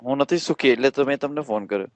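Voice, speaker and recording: A man's voice: medium pitch, fast talker, no room sound. A man with a Gujarati accent improvising a prank call in slangy, colloquial speech. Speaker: prank caller. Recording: dull lo-fi dry sound.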